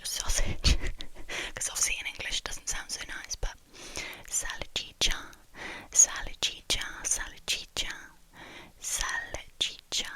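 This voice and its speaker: A young woman whispering into a close microphone for an ASMR video, softly repeating trigger words again and again and chatting with small giggles between them. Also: low-quality recording. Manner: soft, slow whisper that repeats words many times. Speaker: ASMR creator.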